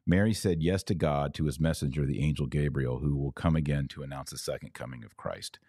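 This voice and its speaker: Deep-voiced man hosting an emphatic talk. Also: a little fast, warm tone, no echo, clean studio sound. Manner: emphatic, didactic, with rhetorical questions. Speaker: podcast host. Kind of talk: religious commentary answering live viewer comments.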